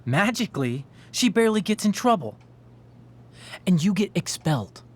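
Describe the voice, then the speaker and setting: A man's voice: high voice, very animated, moderate pace. A man playing an anxious, accusing teenage boy in a dramatic video game, pressing his points with pleading emphasis. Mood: accusing, insistent.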